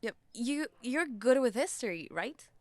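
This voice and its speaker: Casting: timid, troubled young wife played by a woman, higher-pitched, halting and slow, audio drama. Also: animated, dry recording. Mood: timid, friendly. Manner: hesitant, halting. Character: John's distressed, timid wife, also as a teenage girl.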